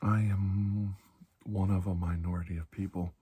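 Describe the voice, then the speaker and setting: Husky, low-voiced man in soft ASMR. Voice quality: breathy, husky. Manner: slow, calming, deliberate with long pauses. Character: calm, reassuring older military doctor treating alien infection. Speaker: ASMR performer playing a doctor. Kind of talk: soft-spoken ASMR medical roleplay, often near whisper.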